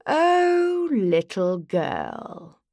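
A woman's voice: mid-range voice, wildly swooping pitch, very slow, darkish tone, no room sound, clean studio sound, voice pushed down, exaggerated voice. A woman voicing an elegant, vain male elf lord in a storybook, with a languid, sighing, grandly formal delivery. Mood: languid, wistful.

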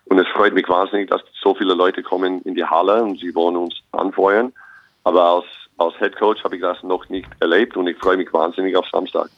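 Young American coach, very low voice, positive and earnest, dry dull recording. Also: male voice, flat delivery, fairly quick, dry recording. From United States. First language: English. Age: young adult. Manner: optimistic, earnest, restarts phrases often. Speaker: basketball head coach. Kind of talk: interview guest.

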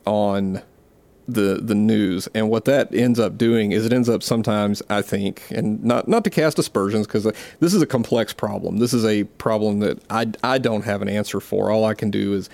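A man's voice: lowish voice, normal speed, creaky, a little mellow, no echo. A man from South Mississippi with a Southern accent, co-hosting a casual podcast chat in a rambling, self-deprecating way with frequent restarts. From South Mississippi, USA. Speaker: podcast co-host. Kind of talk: casual conversation.

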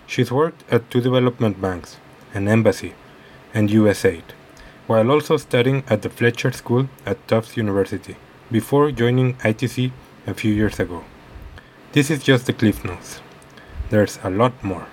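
A man's voice: lowish voice, moderate pace, darkish tone, slight background noise, poor audio. A man hosting his own podcast, reading a scripted introduction with an upbeat, promotional delivery. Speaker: podcast host. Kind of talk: scripted podcast intro, then casual interview. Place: office meeting room.

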